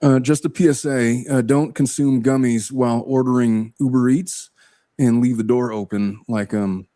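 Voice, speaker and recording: A man's voice: medium pitch, steady tone, moderate pace, warm tone, no room sound, clean studio sound. A man who works as a lawyer, chatting casually and joking on a livestream. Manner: joking, laughs often. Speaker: guest lawyer.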